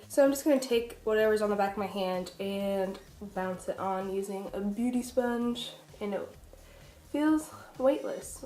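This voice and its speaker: A woman's voice: medium pitch, mellow. A young woman with a congested, nasal voice from a cold, chatting casually and self-deprecatingly through a makeup tutorial. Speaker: beauty vlogger, makeup artist. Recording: echoey, roomy sound.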